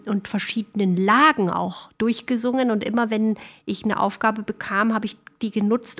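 A woman guest talks casually in an interview. She has a medium-pitched voice and explains things reflectively. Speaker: guest, singer. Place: small theatre, live recording.

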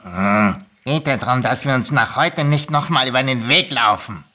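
A woman's voice: a bass voice, normal speed, pushed and bright, voice pushed down. A woman voicing a sly, spiteful male kobold in a storybook reading, hissing, scolding and dripping with false sweetness. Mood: grumbling threat.

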